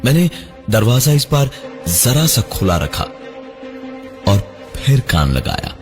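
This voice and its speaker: A man narrating a scripted first-person horror story in a dramatic, halting, suspenseful manner. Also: mid-range voice, very animated, over music. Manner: dramatic, halting delivery with suspenseful breaks and repeated words.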